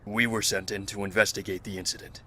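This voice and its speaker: A man playing a calm, guarded health official in a zombie outbreak audio drama, speaking in a measured, formal way. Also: on the low side. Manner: measured, formal, matter-of-fact.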